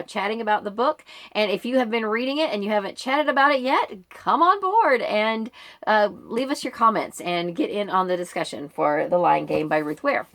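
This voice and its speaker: A woman's voice: medium pitch, a bit bright. A middle-aged American woman giving a casual, conversational reading update to viewers in an informal, rambling way. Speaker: book vlogger and book club member.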